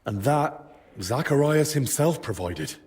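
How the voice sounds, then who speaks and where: Young medieval hero played by a male actor. Earnest, respectful, measured delivery, medium pitch, game drama. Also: lively intonation, a little mellow, natural voice. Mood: earnest, pleading. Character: earnest, determined young man in medieval Bohemia. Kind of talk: dramatic video game cutscene acting.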